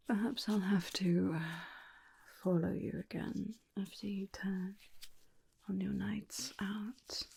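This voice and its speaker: A woman voicing a tender, protective, faintly menacing vampire companion in a soft, soothing ASMR roleplay whisper. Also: medium pitch, gravelly, slight background noise. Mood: fondly concerned. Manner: slow, soothing, coaxing with endearments. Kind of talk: ASMR roleplay, personal attention.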